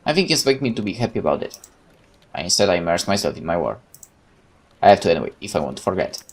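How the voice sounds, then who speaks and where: A man's voice: low-pitched, sing-song, quick pace. A man reading visual novel narration aloud dramatically, with casual asides as a gaming host.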